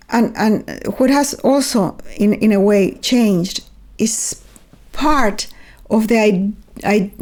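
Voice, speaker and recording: An older Chilean woman speaking English with a clear Chilean accent. Her Spanish roots come through. She talks thoughtfully and earnestly, with a gentle humor, at an ordinary middle pitch for a woman. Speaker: guest author. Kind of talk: reflective conversation.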